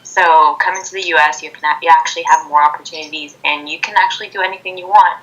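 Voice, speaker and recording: Low, bright young woman on a call. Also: steady tone, fast talker. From Ecuador. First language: Spanish. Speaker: interview guest, college student. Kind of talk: informal interview answers. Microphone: Skype video call. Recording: dull, phone-like internet video call.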